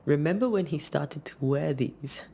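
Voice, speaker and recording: Gravelly, wistful woman ghost hunter. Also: deep voice, normal speed, warm tone, a little hiss or hum. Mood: fond, teasing. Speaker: video game character. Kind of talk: cinematic game dialogue.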